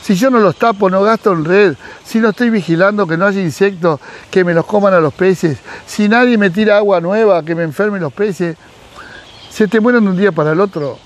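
Animated Argentine man, higher voice. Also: fairly quick. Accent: Argentine Spanish, Mar del Plata. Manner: animated, gesturing, acts out quoted dialogue. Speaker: fish breeder and YouTuber. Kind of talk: casual advice vlog. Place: outdoors in a sunny garden by a pool. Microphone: camera at close range.

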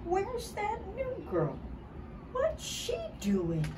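An older woman reading a children's picture book aloud in an expressive, deliberate way, dramatizing it for young children. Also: very high voice. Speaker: early childhood storybook reader. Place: outdoors by a building wall and door. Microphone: camera a short distance away. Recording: distant mic, clear background noise outdoors.

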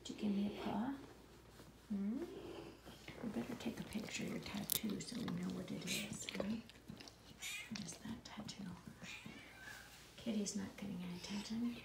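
A woman softly whispering and murmuring to her pet, filmed on a phone. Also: medium pitch, fairly even intonation, normal speed, vocal fry, long pauses, reverberant. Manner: soft, often whispering. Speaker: pet owner. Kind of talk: casual whispered chat to a pet.

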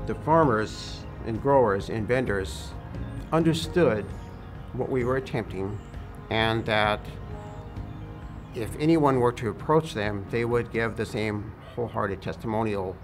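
An older man recollecting in a halting, deliberate way in an outdoor documentary interview. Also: lowish voice. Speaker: former board president. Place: outdoors in a park, seated. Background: music.

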